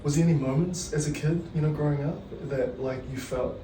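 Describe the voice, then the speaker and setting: A man's voice: fairly high pitch, fairly even intonation, brisk, mellow, echoey room, distant mic, slight background noise, poor audio. A man with a New Zealand accent hosting a casual podcast interview, joking easily and mixing Māori words into his talk. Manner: laid-back, joking, many fillers, mixes in Māori words. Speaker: podcast host.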